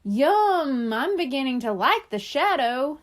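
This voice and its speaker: A woman's voice: sits high, big pitch swings, slow pace, full character voice. A woman voicing a cheerful, eager young boy with a chirpy manner in a children's audiobook.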